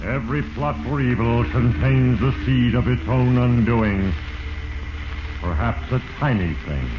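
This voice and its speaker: A man's voice: mid-range voice, a little slow, lightly acted. Sinister male Fate acted by a man, portentous radio-drama narrator, roomy echo on a dull old recording. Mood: ominous, solemn. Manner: ominous, portentous, heavy-handed. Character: Fate personified, sinister, solemn male judge of human destinies. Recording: echoey, muffled, noisy 1940s radio recording.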